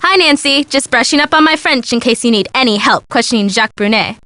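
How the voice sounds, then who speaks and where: A woman playing a bubbly, excitable young female sidekick in scripted video game dialogue. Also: on the high side, a little fast, no echo. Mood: chirpy. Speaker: game voice actor.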